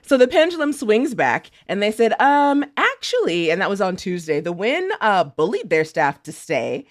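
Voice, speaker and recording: A woman's voice: mid-range voice, very animated, normal speed. A woman podcast contributor chatting casually in slang, theatrically acting out what others said. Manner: theatrical, acts out paraphrased quotes. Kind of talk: casual, slangy storytelling chat.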